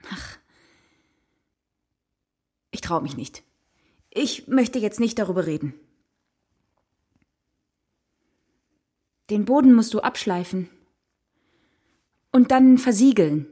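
A woman's voice: mid-range voice, animated, takes it slowly, long pauses, warm tone, slightly rough. An actress playing an evasive, guilty mother in a children's radio play, speaking hesitantly and coaxingly. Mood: reluctant, guilty. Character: evasive, guilty mother confessing to her husband.

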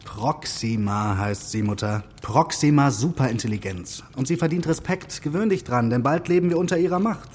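A middle-aged man playing an upbeat technocratic father in a children's radio play, brisk and a little pompous, with formal wording. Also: medium pitch, darkish tone, slight background noise, natural voice. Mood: enthusiastic, pompous.

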